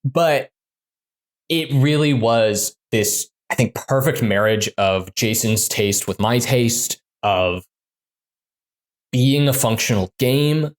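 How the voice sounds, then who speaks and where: A man who designs games, talking casually in an interview, full of fillers and quick restarts. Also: mid-range voice. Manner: chatty, many fillers and restarts. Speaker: game designer guest.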